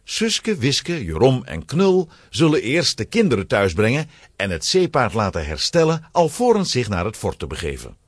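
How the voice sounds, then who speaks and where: A man's voice: medium pitch, wildly swooping pitch, moderate pace, breathy edge, dry recording. A man narrating a children's adventure story in a dramatic, scripted storytelling style.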